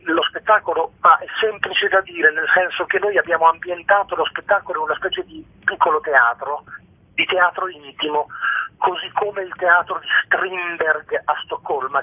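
A man's voice: on the high side, husky. A man, a theatre director being interviewed on radio, explaining a play in an erudite, emphatic, literary way. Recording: narrow, echoey telephone line.

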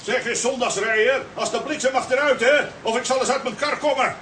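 A man's voice: very high-pitched, brisk, a bit bright. A man acting a furious, bullying thug of a driver in a children's radio play, barking threats. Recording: roomy, distant, faint background noise.

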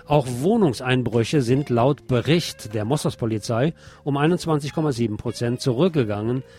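A man's voice: medium pitch, moderate pace, warm tone, breathy edge. A man hosting a radio programme, reading scripted local news and adding his own commentary.

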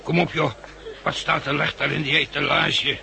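An actor playing a gruff, impatient, hungry man speaking curtly in an old radio drama. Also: fairly high pitch, little pitch movement, normal speed, a crowd in the background. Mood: demanding. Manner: curt, demanding. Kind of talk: 1960s Dutch radio drama acting. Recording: slightly noisy old radio recording.

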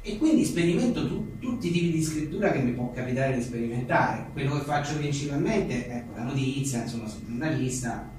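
A man's voice: mid-range voice, expressive, fairly quick, hardly any pauses, darkish tone. A man being interviewed as a writer, talking conversationally in rambling, hesitant phrases full of little tag questions. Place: echoey venue at a live book presentation. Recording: echoey room, distant mic, faint noise.

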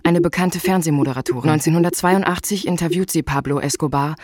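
A woman's voice: deep voice, fast, dry recording, studio quality. A woman delivering scripted documentary narration. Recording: voice over prominent music. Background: loud music.

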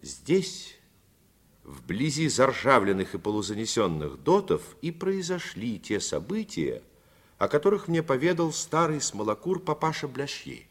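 A man narrating a 1950s radio drama as a storyteller, phrasing expressively and deliberately with dramatic pauses. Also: mid-range voice, sing-song. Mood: confiding. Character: male storyteller fond of the coast and its people. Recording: 1950s radio recording, faint music behind.

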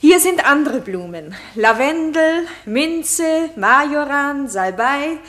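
An actress playing a modest, anxious and gracious young shepherdess in a classical German radio drama. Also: female voice, high voice, lively intonation, takes it slowly, fairly bright tone, exaggerated voice. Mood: earnest.

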